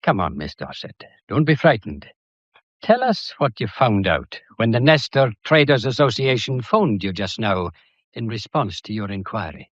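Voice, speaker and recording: An actor playing a shrewd, courteous police inspector with an English accent, deferential yet probing, in a radio drama. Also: male voice, fairly low pitch, big pitch swings, moderate pace, no room sound, muffled recording. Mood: probing, assured.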